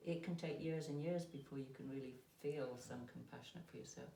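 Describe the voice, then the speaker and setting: Calm trainer in a roomy space. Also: mid-range voice, brisk, gravelly, a little hiss or hum. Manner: calm, explanatory, asks probing questions. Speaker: trauma healing trainer. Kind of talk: conversational discussion. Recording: roomy sound from a distant mic.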